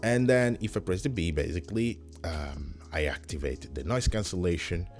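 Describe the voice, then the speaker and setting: A man's voice: low-pitched, lively intonation, moderate pace, faint music behind. A man giving a casual, explanatory product demo, often repeating points and checking in with the viewer. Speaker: tech product reviewer.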